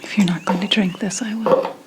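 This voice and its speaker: A woman playing a mystical, brooding 17th century witch healer in a breathy, whispered ASMR roleplay, telling things solemnly with deliberate pauses. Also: mid-range voice, steady tone, very noisy, lightly acted. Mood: ominous, hushed.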